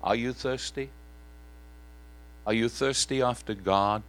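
A man preaching a sermon in church in a slightly croaky voice, asking earnest questions and singing parts of a hymn. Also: medium pitch, takes it slowly, fairly bright tone, poor audio.

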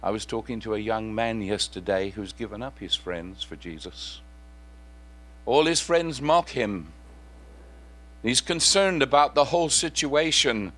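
A man preaching a sermon in church in a slightly croaky voice, asking earnest questions and singing parts of a hymn. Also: on the low side, measured pace, a bit bright.

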